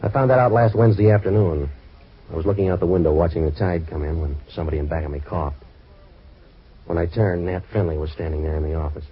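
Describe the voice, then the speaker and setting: Fast, deep-voiced hard-boiled American detective. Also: male voice, breathy edge. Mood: wry, jaded. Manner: sardonic, clipped, deadpan. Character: wisecracking hard-boiled male waterfront private eye. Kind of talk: 1940s radio detective acting and narration. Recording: muffled, hissy old radio recording.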